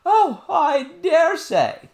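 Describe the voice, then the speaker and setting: A man voicing a fussy, easily offended woman nurse, loud and drawn out, in a storybook reading. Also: extremely high pitch, big pitch swings, very slow, gravelly, voice pushed up, heavily acted. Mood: vexed. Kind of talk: audiobook character voice.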